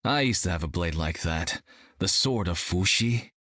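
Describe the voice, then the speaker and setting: An actor playing a good-natured young sword-wielding hero in a video game, with a husky voice. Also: male voice, low-pitched, animated, normal speed, a bit bright, dry recording. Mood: easygoing.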